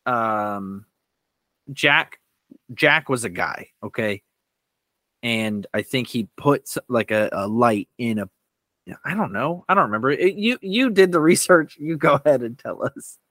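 A man with a bright, lively voice that swings widely in pitch. He banters casually as a podcast co-host, joking and animated as he works through quiz answers. The sound is close and dry. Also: measured pace, dry recording.

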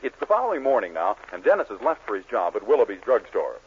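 A man announcing a 1940s radio comedy, in smooth, formal scripted narration. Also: on the low side, sing-song, fast. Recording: dull, hissy 1940s radio broadcast.

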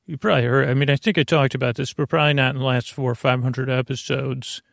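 A man hosting a bedtime podcast in a creaky, gravelly voice, gently meandering through tangents and halting self-corrections to reassure the listener. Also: mid-range voice, steady tone, no room sound, studio quality. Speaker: podcast host. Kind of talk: rambling, unscripted bedtime podcast monologue.

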